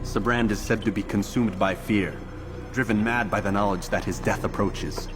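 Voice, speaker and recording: An actor playing a stoic, disciplined assassin in a video game, speaking in a measured, formal and restrained way. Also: male voice, fairly low pitch, fairly even intonation, over music, close to an everyday voice. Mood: focused. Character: stoic, disciplined male assassin, coolly earnest. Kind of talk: scripted game cutscene acting.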